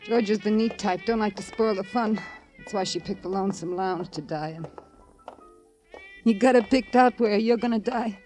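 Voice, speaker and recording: A woman's voice: mid-range voice, moderate pace, darkish tone, lightly acted. An actress playing a cynical, needling dance-hall girl with a flippant manner, in 1950s radio noir style. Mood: taunting, flippant. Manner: taunting, flippant. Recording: old radio recording, faint music.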